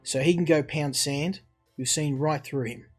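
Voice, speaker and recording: Male game reviewer, slightly high voice, running commentary, reading text aloud, soft guitar music behind. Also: steady tone, normal speed.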